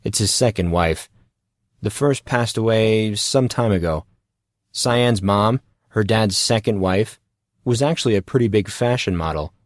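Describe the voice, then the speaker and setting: Man voicing an offhand, low-voiced teenage boy. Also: measured pace, no room sound, studio quality, lightly acted. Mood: matter-of-fact. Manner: casual, offhand. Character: laid-back, matter-of-fact teenage boy, cool schoolmate.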